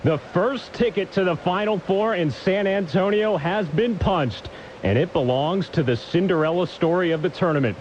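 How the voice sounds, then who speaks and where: Male sports broadcaster, higher-pitched, polished scripted update read with punchy phrasing, slightly muffled lo-fi radio sound. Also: moderate pace, darkish tone, slight background noise.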